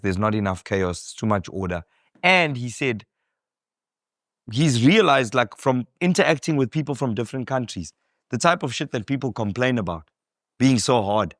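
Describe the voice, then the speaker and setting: A man's voice: on the low side, a bit bright, no echo, studio quality. A man with a South African accent hosting a casual conversation, who talks in a loose, rambling way full of fillers and slang. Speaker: podcast host.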